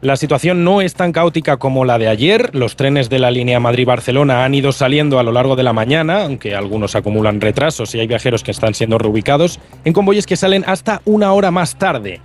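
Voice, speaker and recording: A man's voice: mid-range voice, brisk, soft background music. A man with a Castilian Spanish accent giving a live news report.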